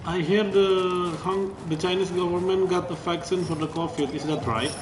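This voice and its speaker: A man chats over voice chat while gaming, friendly and asking lots of questions. His voice sits fairly high. The mic picks up an echoey room with clear game noise behind him. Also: fairly even intonation, moderate pace. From Indonesia. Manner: friendly, chatty, asks many questions. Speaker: gamer in online co-op. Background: game sounds.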